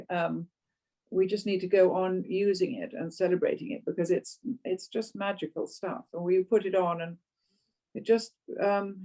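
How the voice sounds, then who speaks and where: Woman, mid pitch, eager and playful, casual chat. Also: warm tone. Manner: enthusiastic, playful. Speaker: interior designer guest. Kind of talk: conversational interview.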